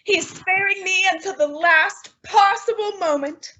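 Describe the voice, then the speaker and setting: An actress playing a devoted housewife putting on a brave front in an old radio comedy. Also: female voice, very high voice, a little slow, bright, forceful tone, full character voice. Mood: brave, tender. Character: devoted housewife wife, bravely hiding tearful worry. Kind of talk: 1950s radio sitcom acting. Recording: dull vintage radio recording.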